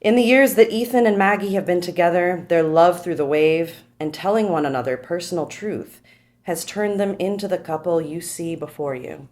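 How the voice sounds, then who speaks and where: A formal wedding officiant, read by a woman at a table read, who delivers the ceremony in a measured, solemn way. Her voice sits a little low for a woman. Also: a little mellow, close to an everyday voice.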